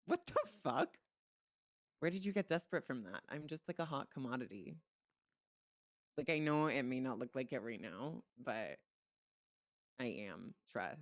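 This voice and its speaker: A man who grew up speaking Czech chats casually during game roleplay. His voice sits at a middle pitch and he is animated and informal, swearing freely. The sound is very dry, with no room on it. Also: normal speed, pauses often, dry recording. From Czech Republic. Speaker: streamer playing a dispatcher in online roleplay.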